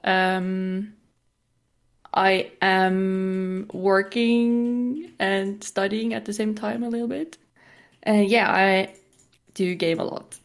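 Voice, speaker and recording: A woman's voice: mid-range voice, little pitch movement, slow. A woman in her twenties with a Norwegian accent, chatting casually as a podcast guest. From Norway. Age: 20s. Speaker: podcast guest, game streamer. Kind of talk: casual chat.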